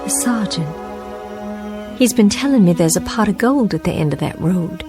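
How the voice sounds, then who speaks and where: An actress playing a lonely, sick, grieving war widow in a radio drama, halting, emotional and pleading. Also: female voice, lowish voice, animated, music in the background. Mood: insistent.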